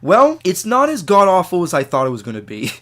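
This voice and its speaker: A man with a hoarse voice, recovering from losing it, giving a casual, rambling spoken review to his viewers. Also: huge pitch swings, a little fast. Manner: chatty and rambling, big pitch swings on emphasis. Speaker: video reviewer.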